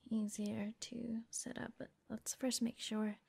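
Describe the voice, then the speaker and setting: A woman playing a friendly, gently teasing mecha mechanic in an ASMR roleplay, soft-spoken and often whispering, murmuring reassurance as she works. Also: fairly high pitch, level tone, vocal fry, husky, full character voice. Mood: gentle, focused. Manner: unhurried, murmuring reassurance while busy working.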